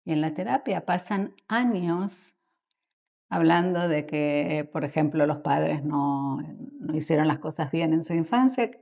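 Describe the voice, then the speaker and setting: Argentine woman, low creaky voice. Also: animated, a little mellow. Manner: thoughtful, halting, with mid-sentence pauses. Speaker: guest expert in psychotherapy. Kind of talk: conversational podcast interview answers.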